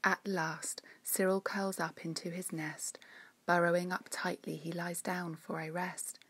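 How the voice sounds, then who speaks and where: A woman reading her own rhyming children's story in a gentle, measured, expressive way. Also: lowish voice, husky, dry recording.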